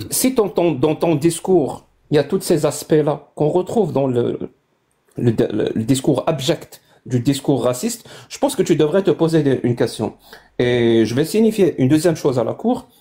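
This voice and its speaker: Man from Algeria, debating live, emphatic, formal, rhetorical, mellow dark voice. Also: medium pitch.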